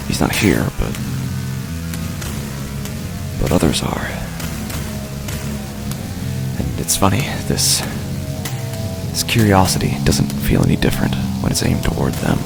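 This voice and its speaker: A man performs a brooding, menacing street tough narrating a horror audio drama. His voice is extremely low and thick with gravelly fry. He speaks in deliberate, halting phrases with a nihilistic edge, over prominent music. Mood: menacing, morbid. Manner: brooding, halting, deliberate, with heavy pauses and sighs. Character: menacing, drugged, nihilistic male street tough with violent urges. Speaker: horror fiction podcast narrator. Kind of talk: first-person dramatic horror monologue. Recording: music under the voice. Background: background music.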